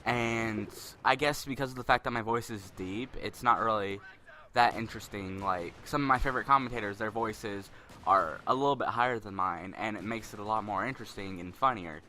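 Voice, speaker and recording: Deep-voiced young man rambling over gameplay. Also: fairly quick, sharp tone, a little hiss or hum. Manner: rambling, spontaneous, self-conscious. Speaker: gameplay commentator. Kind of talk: casual gameplay commentary.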